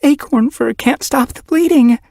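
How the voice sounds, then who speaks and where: A frantic tortoiseshell she-cat, as a man puts her on for a storybook. The voice leaps high and loud with panicked urgency. It has a husky texture. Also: a little slow, no echo, studio quality, heavily acted.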